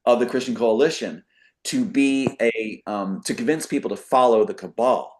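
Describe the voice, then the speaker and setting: A man's voice: mid-range voice, moderate pace, a little mellow, a little hiss or hum. Male guest, mid-range voice, casual rambling explainer, emphatic delivery. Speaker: talk show guest. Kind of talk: casual conspiracy monologue.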